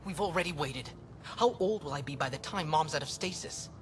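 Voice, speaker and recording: A man's voice: fairly high pitch, fairly quick, fairly bright tone, a little hiss or hum, lightly acted. An actor playing an angry, impatient, desperate young man in a video game, in a reverberant recording. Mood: defiant. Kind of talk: video game cutscene acting.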